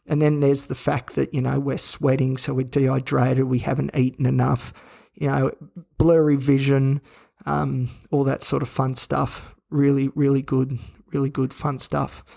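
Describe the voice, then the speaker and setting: A man hosting a solo podcast from a hospital room, his voice croaky and worn out, talking flatly and wearily with rambling restarts. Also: mid-range voice, moderate pace. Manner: flat, weary, rambling with restarts. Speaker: podcast host. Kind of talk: candid solo podcast monologue. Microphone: own recording gear.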